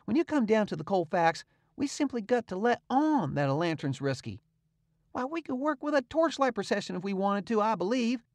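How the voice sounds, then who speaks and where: A man's voice: high voice, no room sound, voice pushed up. A man voicing a sly, bossy teenage boy who schemes and plays innocent. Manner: brash, quick, mock-innocent.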